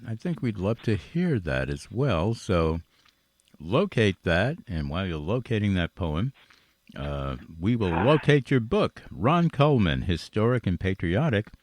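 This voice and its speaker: A man's voice: lowish voice, very animated, unhurried, dry recording. An American man hosting a promotional radio interview, with a folksy, salesman-like way of talking. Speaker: radio show host.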